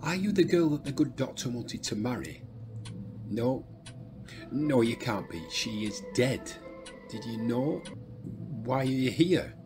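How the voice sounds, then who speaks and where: A man's voice: mid-range voice, expressive, a little slow, over music. An actor playing a courteous but eerie madman in a radio drama, speaking formally with abrupt, agitated turns. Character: unsettled male asylum lunatic, courteous but eerie.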